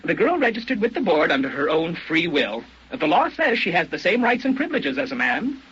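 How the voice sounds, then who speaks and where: An actor playing a fussy, officious government official in an old-time radio drama, hesitant and formal. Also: male voice, sits high, sing-song, brisk, fairly bright tone, breathy edge, exaggerated voice. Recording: muffled vintage radio recording.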